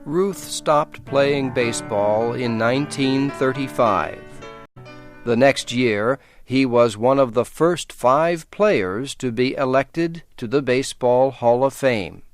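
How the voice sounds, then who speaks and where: Slow-speaking American male narrator. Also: medium pitch, faint music behind. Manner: measured, careful enunciation for English learners. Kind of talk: slow scripted educational narration.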